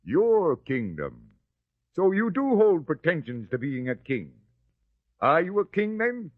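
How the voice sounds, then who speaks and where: An actor playing a proud but uneasy Roman governor who speaks condescendingly, in an old-time radio drama. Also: male voice, fairly high pitch, huge pitch swings, takes it slowly, dry recording, exaggerated voice. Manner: haughty and condescending, then hesitant. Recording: dry, dull lo-fi recording.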